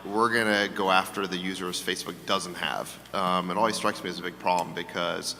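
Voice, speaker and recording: A man's voice: low voice, fairly even intonation, fairly quick, sharp tone, big-room sound, a little hiss or hum. A man judging startups on a panel, blunt and confident with a cheeky edge. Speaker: panel judge and investor. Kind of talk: panel commentary.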